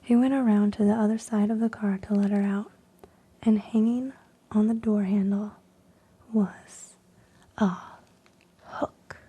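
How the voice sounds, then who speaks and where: A woman reading stories in a soft unvoiced ASMR whisper, slow and hushed with frequent ums, while losing her voice. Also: mid-range voice, steady tone, slightly rough. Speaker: ASMR creator.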